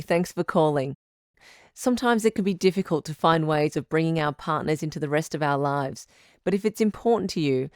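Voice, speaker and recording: Actress as calm supportive lady doctor hosting radio advice drama, lower voice, articulate, super dry studio sound. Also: clean tone, dry recording, lightly acted. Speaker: radio show host.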